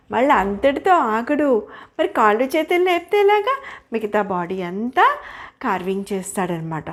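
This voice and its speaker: Animated older woman telling children a story. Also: on the high side. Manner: expressive, explanatory, asks listeners questions. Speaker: storyteller grandmother.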